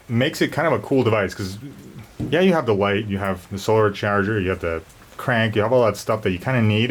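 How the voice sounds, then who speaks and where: A man giving a casual, unscripted product review, rambling and repeating words as he goes. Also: lowish voice. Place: garage during a thunderstorm. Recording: noisy garage with storm ambience.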